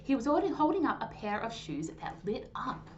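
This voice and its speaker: Female children's storyteller, animated and high-pitched with very lively intonation, slightly dull recording. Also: brisk. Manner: animated, clearly phrased storytelling. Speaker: storytime host and reader. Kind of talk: expressive children's story reading. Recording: dull lo-fi sound, faint noise.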